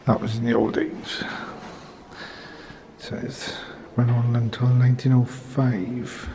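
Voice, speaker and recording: Man, travel vlogger, soft mellow tone, mid pitch, hesitant asides, some ambient noise. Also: little pitch movement, slow, creaky, poor audio. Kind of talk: casual tour commentary. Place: old prison building and its grounds. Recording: handheld camera, noticeable background noise.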